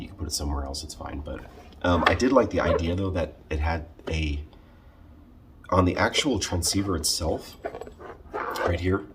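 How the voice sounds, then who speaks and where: A man with a very low voice talks through a casual how-to vlog. He is conversational and halting, often doubling back to correct himself. Clear background noise sits behind his voice. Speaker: video creator showing a car radio install.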